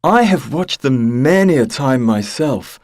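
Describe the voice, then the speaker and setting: Guy acting a young sailor in a radio play, earnest n polite, lively up and down voice, dry sound. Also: medium pitch, unhurried, no room sound. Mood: frank, unafraid. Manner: earnest, formal. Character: gallant young male sailor, frank, respectful, unafraid. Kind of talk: audio drama acting.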